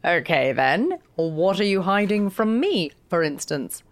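A woman's voice: lowish voice, big pitch swings, unhurried. An actress playing a probing, principled, playful woman storyteller in an audio drama.

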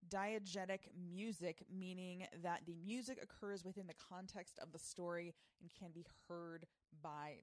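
A woman's voice: lowish voice, no echo. Woman podcast host, dry close sound. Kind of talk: chatty podcast commentary.

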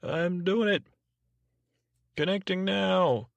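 Man putting on an eager high-pitched announcer sidekick, Bob. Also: takes it slowly, vocal fry, dry recording, heavily acted. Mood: dutiful, eager. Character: eager, obliging male announcer-operator sidekick named Bob.

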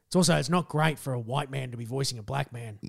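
Hoarse gravelly Australian man. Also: medium pitch, fast. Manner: swearing, animated storytelling. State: hoarse, lost his voice. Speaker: podcast co-host. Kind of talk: casual chat.

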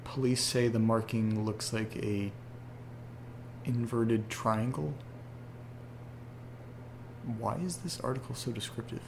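A man's voice: mid-range voice, steady tone, takes it slowly, vocal fry, long pauses, noisy background. An actor playing an anxious young man who talks hesitantly and rambles, in a horror audio drama. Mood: irritated. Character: anxious young man receiving strange photos.

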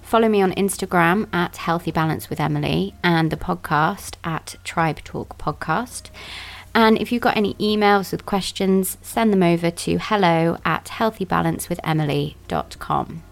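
A woman's voice: fairly low pitch, moderate pace, gravelly. A young woman hosting a wellness podcast, giving a bubbly intro and chatting easily with her guest. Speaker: podcast host. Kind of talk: podcast intro and casual interview.

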